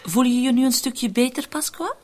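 A woman's voice: sits high, lively intonation, moderate pace, a little mellow. An actress playing a cheerful, shrewd young woman who is an accomplice in crime, teasing and flippant, in a radio play. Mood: mocking. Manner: teasing, flippant, self-possessed. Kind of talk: Dutch-language radio play acting.

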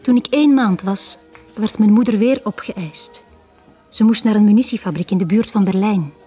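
A mellow-voiced Flemish woman, played by an actress, quietly confides her sad past in a 1980s radio drama. She is intimate and wistful, often dropping near a whisper. Faint music plays behind her. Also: medium pitch, normal speed, breathy edge, close to an everyday voice. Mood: sorrowful. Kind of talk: 1980s Dutch-language radio drama acting.

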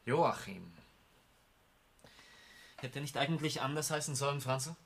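Embittered, proud Austrian war veteran, noisy recording. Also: male voice, medium pitch, lively intonation, unhurried, gravelly, fairly bright tone. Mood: wry, reconciled. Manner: emphatic, insistent, bitter. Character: embittered, proud war veteran turned building-site supervisor. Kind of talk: German radio play acting. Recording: noisy old radio recording.

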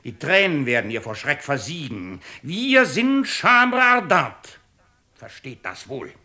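An actor playing a shrewd, pompous, mocking presiding judge in a 1950s radio play. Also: male voice, fairly high pitch, big pitch swings, slow, sharp tone, heavily acted. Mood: stern, menacing. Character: shrewd, sardonic male presiding judge of a French tribunal.

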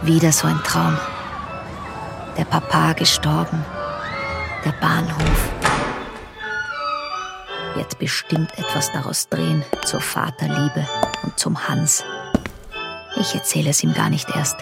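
An actress playing a young woman's secretive inner voice in a radio play, musing in hushed, creaky, clipped phrases. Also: low voice, monotone, takes it slowly, long pauses, exaggerated voice. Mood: brooding, wary. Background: music.

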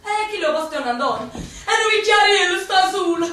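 Neapolitan partisan girl, bright and high. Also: lively intonation, moderate pace, heavily acted. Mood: playful. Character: young Neapolitan woman, wartime partisan. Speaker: actress. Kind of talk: stage play performed for radio. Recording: echoey stage, distant mic, music underneath.

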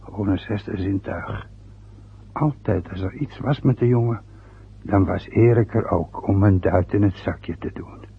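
Radio drama: a pompous old dad, raspy and grumbling, full of his own importance, on a muffled old recording. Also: on the low side, unhurried, heavily acted. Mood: gloating. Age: older. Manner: boastful, grumbling, self-important. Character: aggrieved, self-important, boastful older father, former ambulance driver. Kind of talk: radio drama acting, long rambling monologues.

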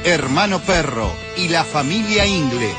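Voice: mid-range voice, lively intonation, unhurried. An announcer reading event listings for a radio advertisement. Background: loud music with guitar.

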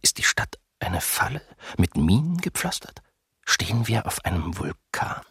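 An actor playing a German soldier recounting the capture of a city in a documentary radio drama. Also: male voice, fairly low pitch, very animated, measured pace, vocal fry, fairly bright tone, husky, dry recording, in a whisper, clean studio sound, full character voice. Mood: uneasy.

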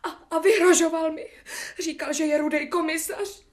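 A woman's voice: extremely high pitch, steady tone. A woman playing a naive, poor young typist in a radio play, crying and deceived. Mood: tearful complaint. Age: young adult. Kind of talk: Czech radio play acting.

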